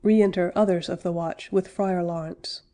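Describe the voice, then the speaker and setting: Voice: mid-range voice, fairly even intonation, moderate pace, warm tone. A narrator reading out stage directions plainly for a play audiobook.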